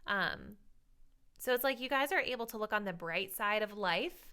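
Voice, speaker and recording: A young woman reading tarot cards for her viewers in a chatty, thinking-aloud way, her voice a little raspy. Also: mid-range voice, lively intonation, moderate pace, sharp tone. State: slightly raspy voice. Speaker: tarot reader.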